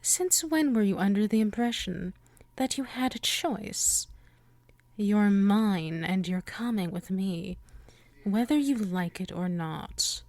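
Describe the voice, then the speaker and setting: A woman playing a possessive, hungry vampire in an ASMR roleplay, slipping between soft talk and breathy whispers, coaxing and menacing. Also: medium pitch, slow pace, no room sound. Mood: menacing, condescending. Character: possessive, hungry female yandere vampire, powerful and obsessive. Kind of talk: ASMR roleplay audio drama.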